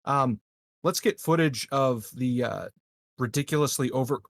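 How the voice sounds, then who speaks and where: A man's voice: mid-range voice, measured pace, vocal fry, no room sound. Casual man with a dry, close sound. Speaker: player in a tabletop roleplaying game. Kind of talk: casual game discussion.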